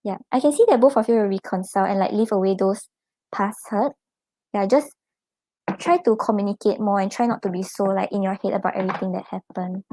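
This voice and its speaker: A young Singaporean woman giving a live-stream tarot reading, offering gentle reassuring advice with casual fillers. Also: mid-range voice, a little fast, no echo. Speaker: tarot reader.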